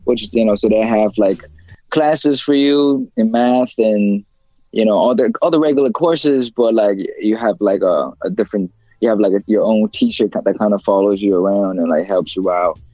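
A man's voice: fairly low pitch, normal speed, dry recording, highs cut off. A young man from China, a rapper as interview guest, talking casually in a rambling way with many fillers and restarts.

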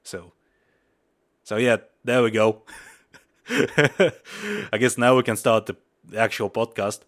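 A man's voice: medium pitch, very animated, slow pace, fairly bright tone. A young man from Buryatia in Russia, a native Mongolian speaker, hosting a podcast in a rambling style full of fillers and restarts. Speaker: podcast host. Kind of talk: casual podcast intro.

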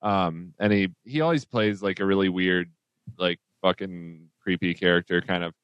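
A man's voice: low-pitched, normal speed, smooth, dry recording, lo-fi sound. A man co-hosting a casual movie podcast, laid-back and full of profane buddy slang. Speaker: podcast co-host. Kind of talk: casual movie discussion among friends.

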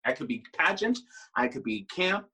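A man with a Puerto Rican accent, a drag queen guest chatting in an interview with a sassy, animated manner. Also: high voice, normal speed, breathy edge. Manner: sassy, animated, swears freely. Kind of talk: casual interview chat.

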